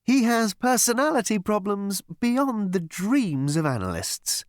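A man voicing a smarmy, cheerful male psychiatrist in a high sing-song manner, in an audiobook reading. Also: big pitch swings, a little slow, no echo, clean studio sound.

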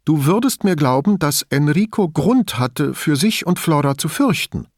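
Guy doing a worked up spiteful woman for an audiobook, high pitched, dramatic n defensive, super emphatic, dry close sound. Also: animated, normal speed, no room sound. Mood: pleading. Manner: theatrical, indignant, emphatic. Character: agitated, theatrical, defensive, spiteful woman under questioning. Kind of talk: audiobook character dialogue.

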